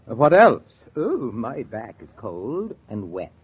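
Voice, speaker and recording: Husky, genteel 1940s gentleman. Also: medium pitch, wildly swooping pitch, takes it slowly, heavily acted. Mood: rueful, amused. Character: proud, good-humored gentleman friend, self-styled expert skier. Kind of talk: 1940s radio drama acting. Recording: muffled 1940s radio transcription.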